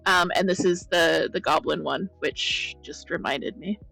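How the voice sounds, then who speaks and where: Croaky woman on a blown-out mic. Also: lowish voice, a little slow, pushed and bright, soft background music, poor audio. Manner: chatty, rambling, greets viewers by name. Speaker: streamer. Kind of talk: casual stream chat.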